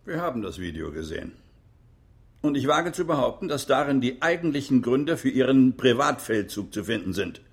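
Accusing prosecutor, mid-pitched and creaky, formal, emphatic courtroom delivery for radio drama. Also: male voice, sing-song, moderate pace. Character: stern, accusing prosecutor in a military trial.

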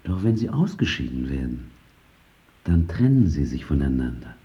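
A man's voice: rumbling low, very animated, normal speed, dark tone, lo-fi sound. An actor in a radio drama playing a battered, suffering man of stubborn faith who argues dryly. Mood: dry, challenging.

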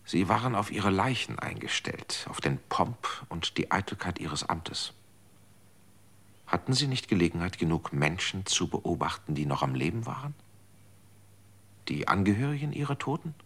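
A man's voice: sits low, sing-song, moderate pace, a bit bright, slightly rough, full character voice. An actor in a radio drama playing a guilt-ridden man who pleads and accuses. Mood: anguished, accusing.

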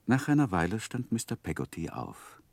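Deep, close-miked male narrator, sorrowful and reflective, grave dramatic pauses, 1960s radio play. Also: huge pitch swings, creaky, no room sound, full character voice. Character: grown man sorrowfully recalling his own past, reflective, haunted.

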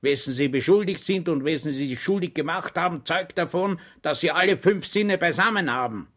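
A cold, brutal police official snaps and shouts with open contempt, as a male narrator puts him on. The voice is pushed high and loud, bullying on every line. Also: expressive, brisk. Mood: sneering. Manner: barking, contemptuous.

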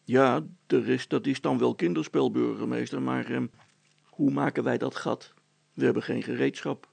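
A man voicing a practical, sceptical village man in a storybook, matter-of-fact and pausing to think. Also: mid-range voice, steady tone, gravelly, a little mellow, slightly rough, no room sound. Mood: resigned, sceptical.

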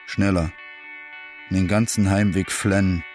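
Over prominent guitar music, a lonely, downcast working-class loser. His voice sits a little low and he lays out his life in short, bleak fragments, like a radio play monologue. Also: level tone, unhurried, a little mellow. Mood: bleak, resigned. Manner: terse, bleak, fragmented phrases.

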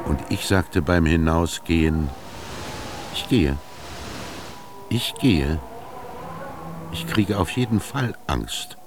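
An older man playing a wry, earthy old Egyptian former goatherd who reminisces and philosophizes in a radio drama, slowly and with dramatic pauses, his voice gravelly and husky. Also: low-pitched, noisy background. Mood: anxious, insistent. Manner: slow, brooding, expressive storytelling with dramatic pauses. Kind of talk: literary radio drama monologue.

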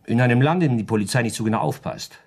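An actor playing an urbane, pedantic lawyer in a radio play, reasoning coolly and politely in a precise, lecturing manner. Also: male voice, mid-range voice, big pitch swings, rapid-fire, a little mellow, breathy edge. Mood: calculating. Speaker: lawyer giving legal advice. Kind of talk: German radio play dialogue.

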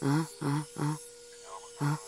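A woman playing an exasperated grown daughter on the phone with her father in a radio play, impatient and pausing to listen. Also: low voice, completely flat delivery, darkish tone, a little hiss or hum. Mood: bemused, reluctant. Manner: impatient, one-sided phone chat with pauses. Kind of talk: naturalistic radio play dialogue.

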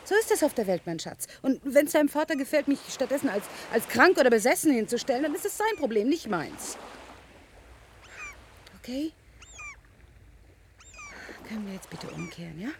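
Middle-aged career mother, defensive and expressive, radio drama style, faint noise behind. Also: sits high, moderate pace, pauses often. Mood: defensive, strained. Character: driven, defensive career woman and mother of a teenager.

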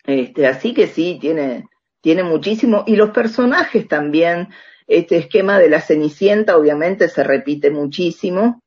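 A woman being interviewed on the radio, explaining in a conversational and halting way, searching for words and seeking agreement. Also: on the low side, expressive, darkish tone, breathy edge, muffled recording.

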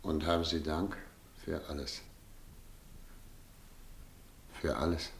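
An actor playing a German émigré doctor who calmly foresees war and internment in a radio drama. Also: male voice, extremely low pitch, moderate pace, vocal fry, a little hiss or hum. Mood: humble. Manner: subdued, fatalistic, earnest. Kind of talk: radio play acting.

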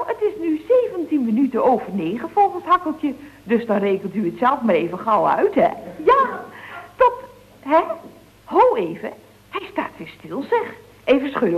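High, swooping, scatterbrained office girl. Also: full character voice. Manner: chirpy, rambling, exclaiming. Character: chatty, scatterbrained young female office telephonist. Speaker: actress in a radio comedy. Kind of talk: 1970s radio comedy acting. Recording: dull vintage radio recording.

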